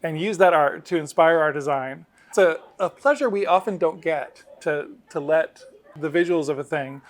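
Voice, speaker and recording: Middle-aged man with a higher-pitched voice. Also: normal speed. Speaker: game designer interviewed about his work. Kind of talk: casual interview explanation.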